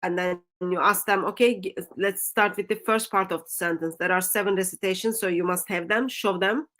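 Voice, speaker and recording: Halting woman with a Turkish accent. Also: mid-range voice, steady tone, fairly bright tone. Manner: halting, many ums and restarts. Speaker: stream host. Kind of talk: livestream discussion.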